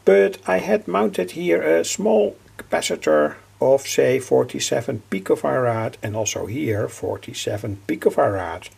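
An older man giving an informal technical explanation as a hobbyist presenter, halting and repeating his phrases. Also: mid-range voice, animated, darkish tone. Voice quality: gravelly, husky.

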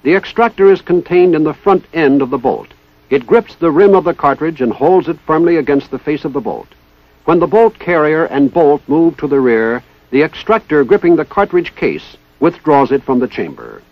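A man giving formal, precise, scripted narration in the style of a 1960s military instructional film, with measured enunciation. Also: on the high side, expressive, no room sound. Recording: dull 1960s training film soundtrack.

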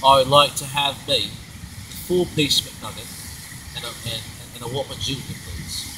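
Guy doing a posh-acting british gent, polite n halting, quiet, noisy phone vid outside. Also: mid-range voice, steady tone, close to an everyday voice. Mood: polite, affected. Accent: British, put on. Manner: affected, hesitant, formal. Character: polite British man with Spanish tricks, affected gentleman. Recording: noisy distant outdoor camera phone.

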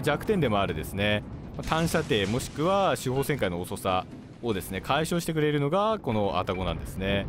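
Male game commentator, mid-pitched and very varied in intonation, polite explainer, game music and artillery behind. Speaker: gaming video host. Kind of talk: live gameplay commentary. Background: game music, gunfire and artillery.

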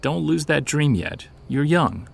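A man acting a seasoned, driven investigator in an audio drama, earnest and measured, with a gravelly, husky voice. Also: fairly high pitch, very animated, exaggerated voice. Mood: encouraging. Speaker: voice actor. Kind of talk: naturalistic audio drama dialogue.

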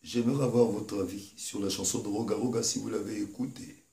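A male host asks his guest questions in an emphatic, courteous manner. His voice sits low and sounds dark and mellow. The room gives it a noticeable echo. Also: fairly even intonation, a little fast. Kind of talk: interviewing a guest. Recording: roomy echo.

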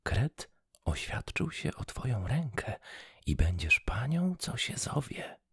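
A man's voice: mid-range voice, sing-song, takes it slowly, vocal fry, fairly bright tone, breathy edge, no echo, studio quality, pitched above the performer's own voice, heavily acted. A man voicing a scolding old female field mouse, whispering in a storybook reading. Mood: coaxing. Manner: whispered, bossy.

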